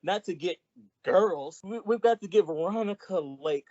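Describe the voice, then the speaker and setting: A performer playing a childlike, wisecracking, excitable male comedian sidekick in a 1940s-style radio comedy. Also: mid-range voice, moderate pace, no room sound, muffled recording, close to an everyday voice. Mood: boastful, joking. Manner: exclamatory, wisecracking.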